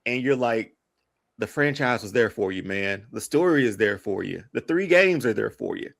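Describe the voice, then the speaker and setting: A man's voice: lowish voice, expressive, normal speed, gravelly. A man chatting casually as a podcast panelist, slangy and emphatic, often repeating his phrases for weight.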